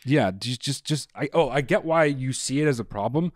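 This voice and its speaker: A man's voice: medium pitch, lively intonation, moderate pace, no room sound, studio quality. A man co-hosting a casual podcast, rambling and laughing often. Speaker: co-host.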